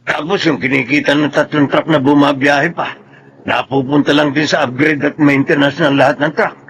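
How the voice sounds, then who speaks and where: A man's voice: a little high, steady tone, moderate pace, fairly bright tone, slight background noise. A man with a Filipino accent playing a troubled, irritable trucking boss in a radio drama. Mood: worried, grumbling. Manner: complaining, exasperated. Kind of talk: Tagalog radio drama acting.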